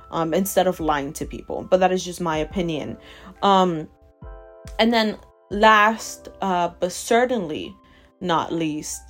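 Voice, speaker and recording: Young woman in her 20s ranting on her podcast, lowish voice, punchy, keeps going "okay?", soft music under it. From Ecuador. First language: Spanish. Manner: emphatic and animated, keeps asking "okay?". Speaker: podcast host. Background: faint chill background music.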